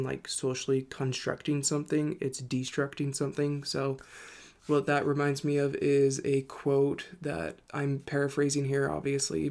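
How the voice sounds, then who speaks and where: A man's voice: mid-range voice, vocal fry, darkish tone. A young man hosting his own channel, talking casually while congested and tired from illness, rambling and thinking aloud as he goes. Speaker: host. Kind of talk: casual solo talk to camera.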